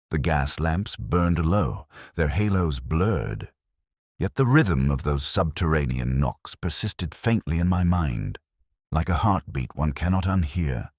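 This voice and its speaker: A male audiobook narrator tells a scripted mystery in a solemn, atmospheric way. His voice is extremely deep and varied in pitch, recorded very dry. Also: no echo.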